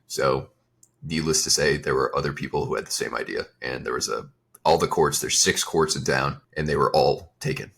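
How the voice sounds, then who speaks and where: A young adult man hosting his own fitness podcast, talking in a relaxed, rambling way with a slightly raspy, strained voice. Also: very deep voice. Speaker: podcast host and fitness coach. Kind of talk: casual solo podcast talk.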